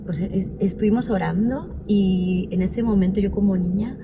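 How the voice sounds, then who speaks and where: A woman in her forties, born in El Salvador, tells her personal story in an earnest, reflective way. Her voice sits at a middle pitch. Steady ambient noise runs behind her. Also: normal speed. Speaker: interview guest. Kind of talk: personal testimony in conversation. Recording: noisy background ambience.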